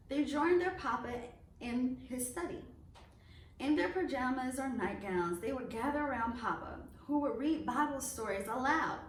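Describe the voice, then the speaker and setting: A woman reads a children's storybook aloud in a high, very lively voice that rises and falls widely. She gives phrases deliberate, expressive emphasis. The recording is roomy and echoey. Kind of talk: expressive read-aloud narration of a children's picture book. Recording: roomy echo, distant mic, faint noise.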